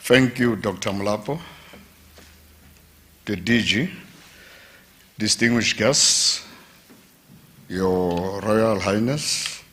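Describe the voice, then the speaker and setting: A man from giving a formal speech at an event, measured and pausing. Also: on the low side, a bit bright. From South Africa. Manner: slow, measured, with pauses and fillers. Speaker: foundation representative. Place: conference hall.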